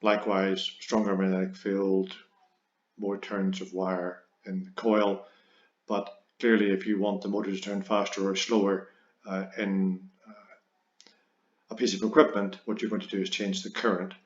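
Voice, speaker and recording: A man's voice: low voice, level tone, normal speed. A man teaching a physics lesson, explaining step by step and stressing key words. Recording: roomy echo, dull lo-fi sound.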